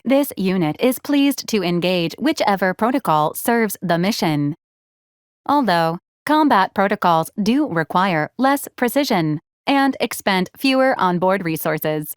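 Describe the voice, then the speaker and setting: A woman acting as a female service robot from a video game, polite and literal-minded. Her mid-pitched voice is formal, precise and clinically detached, delivering everything deadpan. The sound is very dry, with no room around it. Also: clear, resonant tone, dry recording, lightly acted. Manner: formal, precise, deadpan, clipped machine phrasing. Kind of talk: scripted video game character lines.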